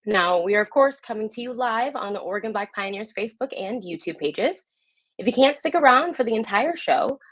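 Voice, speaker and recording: A woman's voice: mid-range voice, dry recording. A woman hosting a live online program, speaking to viewers in a conversational presenter style. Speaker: host.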